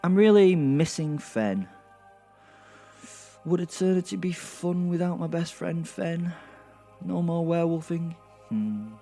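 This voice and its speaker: A man's voice: a little high, expressive, slow pace, gravelly, long pauses, mellow, full character voice. Man voicing a cocky, swooping werewolf. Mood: restless, reluctant. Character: cocky, restless, mischievous male werewolf killer. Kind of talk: first-person narration in horror comedy audio drama. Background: music.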